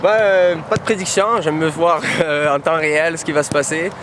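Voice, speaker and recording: A man's voice: on the high side, normal speed. French man with hesitant speech outdoors. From France. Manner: hesitant, many fillers. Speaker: soccer player trying out. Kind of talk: outdoor interview. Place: outdoors by a soccer field. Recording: noisy outdoor background.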